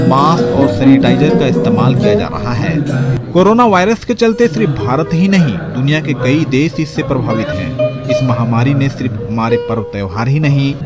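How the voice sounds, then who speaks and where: A man narrating a scripted news report as a voice-over. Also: mid-range voice.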